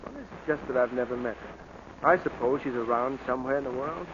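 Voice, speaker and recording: A man's voice: medium pitch, brisk, fairly bright tone, lightly acted. An American actor playing a shy, naive, earnest young scientist in 1940s radio drama style, flustered and hesitant as he talks. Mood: shy, smitten. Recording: muffled, noisy 1940s radio broadcast.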